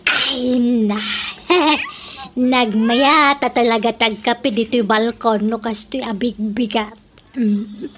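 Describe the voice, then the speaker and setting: A woman's voice: fairly high pitch, fairly even intonation, slow pace, fairly bright tone, slight background noise. A woman with an Ilocano accent playing a nosy, quarrelsome middle-aged villager in a comedic radio drama, teasing and bickering animatedly. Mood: scornful, bickering.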